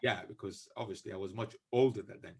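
A middle-aged man hosting a casual comedy interview, putting questions haltingly and repeating words as he goes. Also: fairly low pitch, breathy edge. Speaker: podcast host, comedian.

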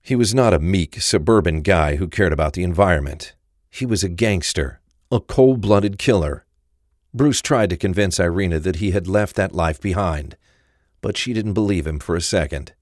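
Deep-voiced male crime narrator. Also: moderate pace, no room sound, clean studio sound. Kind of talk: scripted narration of crime audio drama.

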